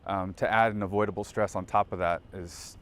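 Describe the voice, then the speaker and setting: A man giving halting, measured answers in an interview. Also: fairly low pitch, steady tone, a little hiss or hum. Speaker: union president for air traffic controllers.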